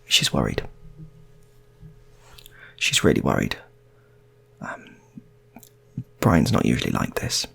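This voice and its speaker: A man's voice: rumbling low, big pitch swings, slow pace, gravelly, long pauses, sharp tone, husky. A man telling an improvised story in a casual, rambling way, often repeating his phrases. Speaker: storyteller, channel host.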